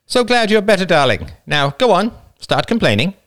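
A man voicing an older wife in a storybook reading, speaking in a brisk, dryly teasing way. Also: high voice, very animated, a bit bright, pitched above the performer's own voice. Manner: dry teasing delivery.

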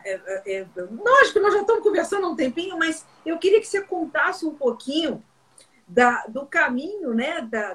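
Woman, 50s, high voice, enthusiastic conversational interviewer. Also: fairly bright tone. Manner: enthusiastic, chatty, quick interjections. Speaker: interviewer, host.

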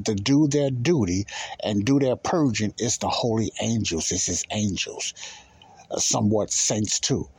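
Male Bible teacher, raspy, lower-pitched voice. Informal and emphatic delivery, with repetition and rhetorical questions. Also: darkish tone. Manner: emphatic, repeats phrases and poses rhetorical questions. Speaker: podcast host and Bible teacher.

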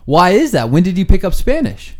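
Man, host, casual interview, animated lively voice, short encouraging replies. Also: high-pitched, fairly quick. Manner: brief encouraging backchannels and questions.

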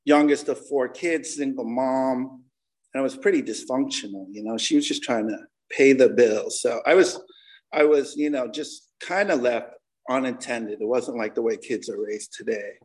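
A man's voice: mid-range voice, normal speed, poor audio. A man in his forties with an American accent, sharing an informal personal testimony at a recovery meeting in a candid, slangy, conversational way. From Connecticut, United States. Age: 40s.

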